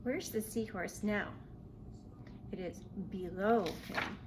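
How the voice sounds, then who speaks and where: A woman reading a picture book aloud to young children in an expressive, questioning way. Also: medium pitch, slow, background noise. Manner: expressive, asks the listener questions. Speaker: children's storybook reader.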